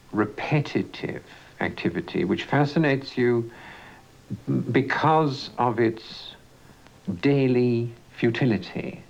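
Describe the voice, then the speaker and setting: A man interviewing a writer, asking long, deliberate, elaborate questions. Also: mid-range voice, vocal fry. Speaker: arts interviewer. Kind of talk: probing literary interview. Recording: dull lo-fi broadcast recording.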